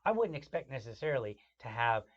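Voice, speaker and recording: Man explaining policy, mid-pitched voice. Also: fast. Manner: steady listener with frequent "right" affirmations, restarting phrases as he explains. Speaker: podcast host. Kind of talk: casual podcast chat. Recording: dull lo-fi podcast audio.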